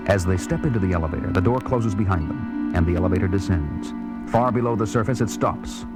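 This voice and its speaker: Lowish male storyteller over loud music. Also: brisk. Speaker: narrator. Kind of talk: scripted story narration, audio drama. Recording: loud music behind narration.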